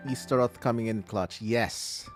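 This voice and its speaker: A man's voice: mid-range voice, fairly even intonation, a little slow, faint music behind. A man giving live playthrough commentary as a gaming streamer, musing aloud and breaking into exclamations.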